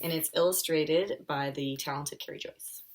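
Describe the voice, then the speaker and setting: Low-pitched American woman reading to children. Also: fairly even intonation, normal speed, a little hiss or hum. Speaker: children's author and school counselor. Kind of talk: informal live read-aloud for children.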